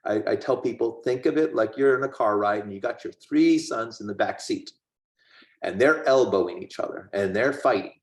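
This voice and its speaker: Low-voiced man giving a medical talk. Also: moderate pace, echoey room, poor audio. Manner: plain explanatory, conversational. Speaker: gastroenterologist lecturer. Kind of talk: medical lecture.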